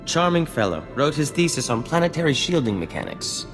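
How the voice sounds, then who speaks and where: An actor playing a vain, refined scientist-inventor in a video game cutscene, speaking in a formal, theatrical and florid way. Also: male voice, a little high, very animated, a little fast. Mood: pleased, courteous. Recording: long reverb, music underneath.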